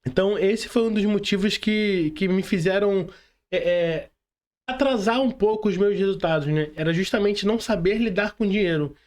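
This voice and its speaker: High-voiced Brazilian man hosting a casual podcast. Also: normal speed. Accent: Brazilian Portuguese. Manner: informal, slangy, addresses listeners directly. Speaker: podcast host.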